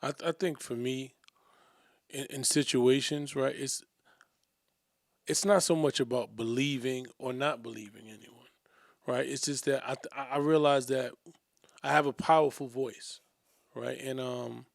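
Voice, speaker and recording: A man co-hosting a discussion show, reflecting aloud in a measured way and often asking "right?". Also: mid-range voice, fairly even intonation, creaky, dry recording, clean studio sound. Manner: measured, thinking aloud, tag questions. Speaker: podcast co-host.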